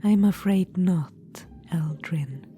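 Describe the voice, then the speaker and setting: A woman voicing a cold, scheming undead witch-queen in a whispered ASMR roleplay, with a dry, mocking manner. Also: takes it slowly. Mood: cold, vindictive. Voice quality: whispered, soft and low. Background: music.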